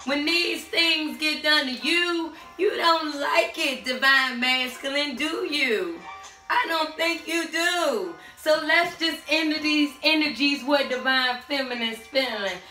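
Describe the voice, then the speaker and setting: A middle-aged woman with a hoarse voice giving a tarot reading to camera in a small room at home, sassy and sing-song, calling out to the spirits. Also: high voice, takes it slowly, bright, forceful tone. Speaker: tarot reader. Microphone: camera standing a few steps away. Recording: echoey room, far from the mic, faint music.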